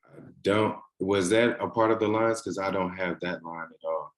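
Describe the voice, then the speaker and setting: A man's voice: low voice, moderate pace. A man, an actor at a table read, talking casually and in an easygoing way on a video call. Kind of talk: casual chat.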